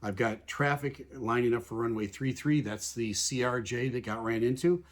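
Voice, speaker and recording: Measured man explaining air traffic calls. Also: medium pitch. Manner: measured, plain-spoken, paraphrases radio calls in everyday words. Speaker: aviation analyst. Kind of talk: explanatory commentary over air traffic recordings.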